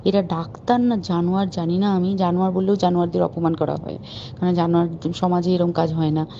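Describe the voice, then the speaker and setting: A woman's voice: fairly low pitch, warm tone, a little hiss or hum. A woman giving an emphatic, indignant, informal account as a caller on a recorded phone call.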